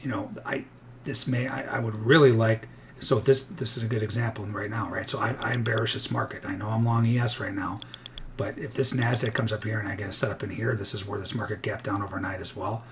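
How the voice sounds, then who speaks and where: A slightly hoarse man casually thinking aloud as a day trader on a live stream, with plenty of restarts. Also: fairly low pitch, fairly even intonation, fairly quick, slight background noise. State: a little hoarse. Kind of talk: casual live trading commentary.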